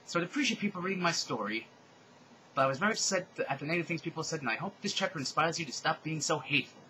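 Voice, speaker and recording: A defensive young fanfiction writer, played by a man with a raised, bright voice. The delivery is hurt and earnest, like a note to readers. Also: on the high side, animated, rapid-fire, a little hiss or hum, voice pushed up, rough recording. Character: wounded, defensive young amateur fanfiction author.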